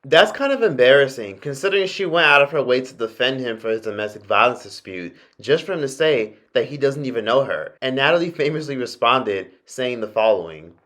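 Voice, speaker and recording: A man's voice: mid-range voice, lively intonation, brisk, few pauses, a bit bright. A man narrating a commentary with gossipy storytelling. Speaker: commentary host.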